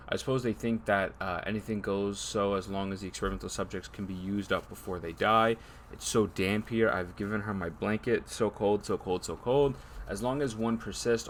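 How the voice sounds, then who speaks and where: A man's voice: lowish voice, fairly bright tone, a little hiss or hum. A man streaming games in a laid-back, slangy way, reacting casually and reading text aloud.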